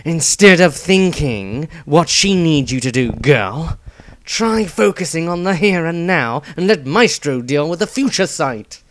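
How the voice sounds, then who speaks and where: A man's voice: fairly high pitch, animated, unhurried, slight background noise, voice pushed up. A man voicing an impatient, practical male fighter who scolds curtly in a fantasy story. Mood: impatient, scolding.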